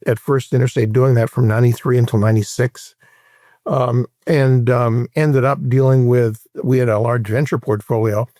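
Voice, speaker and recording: A man's voice: medium pitch, a little mellow, no room sound. Older American man, dry close recording. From Hawaii, United States. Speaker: interview guest, private equity veteran. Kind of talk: conversational career recollection in an interview.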